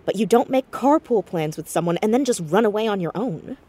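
An actress playing an inquisitive, headstrong teenage girl in an audio drama, insistent and quick to get defensive. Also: mid-range voice, expressive, mellow, lightly acted. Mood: probing, wistful. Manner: stammers when cornered, insistent. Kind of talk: naturalistic audio drama acting.

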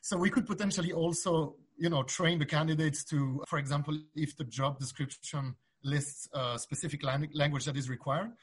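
Guy from belgium, husky voice, podcast guest explaining stuff casually, kinda halting. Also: medium pitch. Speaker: interview guest, startup founder. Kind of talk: casual interview chat.

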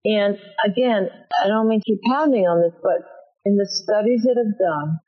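A woman teaching self-defense in an interview, emphatic with a booming voice, often acting out example lines. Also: moderate pace, dull audio, soft background music. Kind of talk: instructive interview talk.

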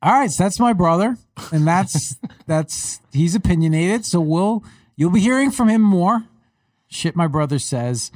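A man hosting a casual sports podcast, with a faint Long Island accent and a relaxed way of talking that repeats words. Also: high-pitched, a little slow, no echo. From Long Island, New York. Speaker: podcast host. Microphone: studio mic.

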